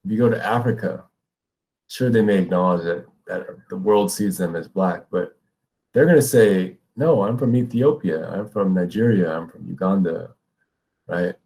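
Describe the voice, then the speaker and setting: A man's voice: sits low, moderate pace, darkish tone, poor audio. A man with an American accent lecturing as a teacher, explaining ideas step by step and checking in with listeners. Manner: explanatory, often checks with "right?". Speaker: middle school ethnic studies teacher. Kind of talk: educational lecture with read-aloud passages.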